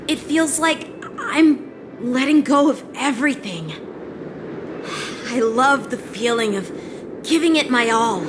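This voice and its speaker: Earnest, unhurried teenage boy swordsman. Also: fairly high pitch, background noise. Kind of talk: video game cutscene acting.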